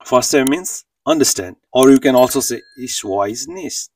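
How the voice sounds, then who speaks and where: Man teaching, lively swooping pitch, gravelly edge. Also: normal speed, distant mic, a little hiss or hum. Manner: didactic, repeats phrases for the learner. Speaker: language teacher. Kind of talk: instructional lesson narration.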